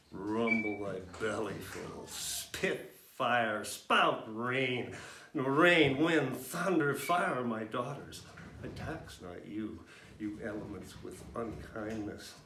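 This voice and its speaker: A man's voice: mid-range voice, a bit bright. A man playing a despised, raging old man in a Shakespearean stage monologue, declaiming with great force. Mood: bitter reproach. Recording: echoey room with faint noise.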